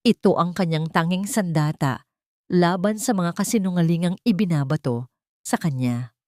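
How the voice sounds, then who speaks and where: A woman reading a dramatic scripted audio story in a solemn, deliberate way. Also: fairly low pitch, darkish tone, dry recording, clean studio sound. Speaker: story narrator.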